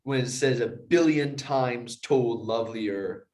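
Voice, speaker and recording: A man talking as a poet answering questions in a thoughtful, measured and slightly tentative way. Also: medium pitch, darkish tone. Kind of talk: thoughtful, casual discussion.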